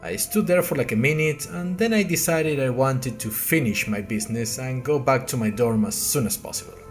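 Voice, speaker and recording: A man's voice: mid-range voice, normal speed, music in the background, close to an everyday voice. A man playing a bewildered, earnest young college newcomer, narrating an audio diary podcast in a wry, hesitant, conversational way straight to listeners. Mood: puzzled, storytelling. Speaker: narrator and podcast host character. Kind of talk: first-person audio fiction narration.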